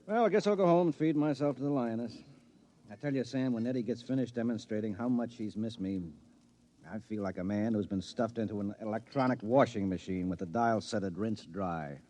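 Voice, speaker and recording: A man's voice: lowish voice, fairly quick, dark tone, lightly acted. An actor playing a weary, wisecracking married man, folksy and skeptical, in a 1950s radio drama. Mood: weary, wry. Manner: wry, folksy, incredulous. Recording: dull 1950s radio recording.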